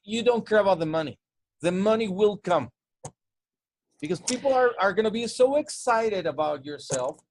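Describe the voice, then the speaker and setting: A man's voice: high voice, animated, moderate pace. A man from Mexico with a Spanish-tinged English accent, coaching on sales in casual chat, often restarting and repeating words. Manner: repetitive restarts, stumbling over words.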